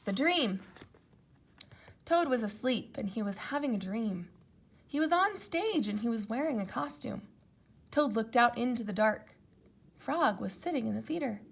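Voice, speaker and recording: A woman reading a children's storybook aloud as an expressive narrator. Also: on the high side, a little slow, gravelly, pauses often, slightly rough. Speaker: storybook reader.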